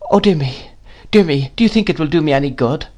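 A man's voice: medium pitch, animated, fairly quick, voice pushed up. A man voicing a meek, fragile, anxious young mother in an audiobook, timid and faltering. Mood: trembling, helpless. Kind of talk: theatrical audiobook character voice.

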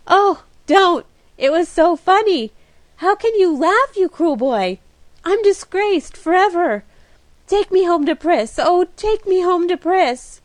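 A woman voicing a flustered, dramatic young woman who cries out in high, breathless exclamations. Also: slow, dry recording. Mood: distraught.

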